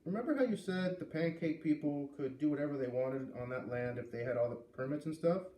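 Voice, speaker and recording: A man's voice: fairly high pitch, fairly quick, warm tone, close to an everyday voice. A man voicing an earnest, easily rattled middle-school boy in a story read aloud, questioning and emphatic. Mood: curious, polite. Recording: roomy, distant mic with light noise.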